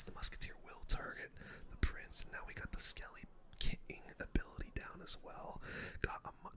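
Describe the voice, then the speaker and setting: Casting: ASMR game streamer, sex open, breathy bright whisper throughout, slangy play-by-play delivery. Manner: casual gamer slang, talks through each move. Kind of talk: whispered ASMR gameplay commentary.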